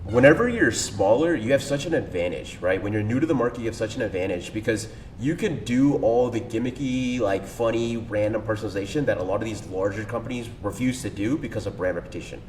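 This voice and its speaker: A man's voice: animated, fast talker, hardly any pauses, a little mellow. A man talking casually as a podcast guest, enthusiastic and slangy, with lots of fillers. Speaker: cold email agency owner, guest. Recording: echoey room, distant mic, faint rumble.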